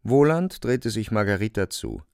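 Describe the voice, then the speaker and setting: Male narrator for literary radio drama: measured, dramatic, low register with fry, recorded dry. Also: lively intonation, a little mellow, no room sound. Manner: measured, deliberate storytelling.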